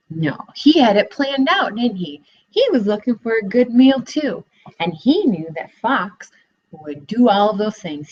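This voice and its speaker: A woman's voice: medium pitch, slightly rough, dull audio. A young woman student teacher giving a read-aloud lesson for children, asking encouraging questions, recorded on a laptop webcam in a living room.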